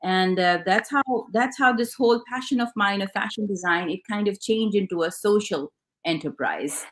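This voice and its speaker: A woman's voice: medium pitch, normal speed. A woman with a Pakistani accent, a fashion designer speaking as an interview guest, hesitant with many fillers and restarts. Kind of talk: casual interview talk.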